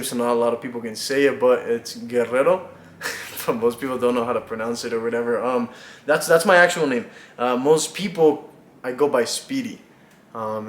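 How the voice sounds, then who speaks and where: Young man, twenties, from Mexico, casual vlog talk, mid pitch, echoey room. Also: slight background noise. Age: 20s. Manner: relaxed, rambling, many fillers and little laughs. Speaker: vlogger. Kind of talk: casual get-to-know-me chat.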